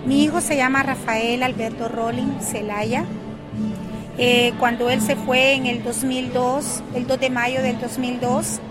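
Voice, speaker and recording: A woman's voice: high-pitched, level tone, unhurried, loud music behind the voice. A Salvadoran woman in her fifties giving spoken testimony as the mother of a missing migrant, earnest and hesitant, with many fillers. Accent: Salvadoran Spanish.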